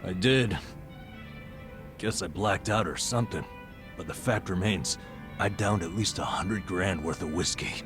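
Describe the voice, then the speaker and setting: A man's voice: deep voice, a little slow, pauses often, music in the background. An actor playing a stressed, boastful middle-aged vagabond in a video game cutscene, telling his tales dramatically and colloquially. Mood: defiant confession.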